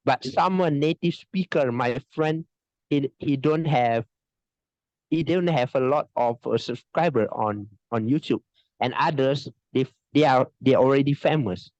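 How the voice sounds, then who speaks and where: A man from Indonesia speaks slowly and haltingly, restarting his sentences over and over. His voice has a middle pitch. It comes through dry and a little muffled over a video call. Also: a little mellow, no room sound. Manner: halting, hesitant speech with many restarts and frequent laughs. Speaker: online English teacher, interview guest. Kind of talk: casual video-call interview.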